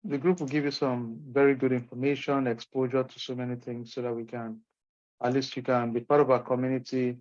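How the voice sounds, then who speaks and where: A man's voice: mid-range voice, little pitch movement, brisk, darkish tone. A man from Nigeria with a Nigerian accent, an instructor answering questions in an online session in an emphatic, encouraging way. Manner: encouraging, emphatic, folksy asides. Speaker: course instructor. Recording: muffled lo-fi call audio.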